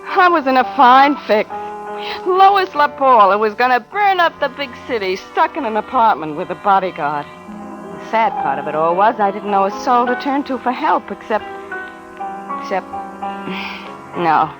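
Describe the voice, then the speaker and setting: A woman's voice: on the high side, expressive, measured pace, rough recording. An actress playing a frightened but tough-talking young woman in a vintage radio mystery, slangy, sardonic and emotive. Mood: uneasy, wry. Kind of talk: 1940s radio acting, first-person narration and dialogue. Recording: vintage radio drama with music behind.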